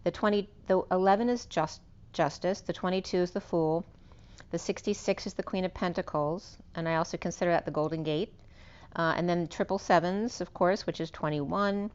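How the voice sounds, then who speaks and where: A woman with a hoarse, fading voice giving an unscripted spiritual tarot reading, meandering and correcting herself as she lists cards and numbers. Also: on the low side, moderate pace, a little mellow, dull audio. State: losing her voice. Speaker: tarot reader.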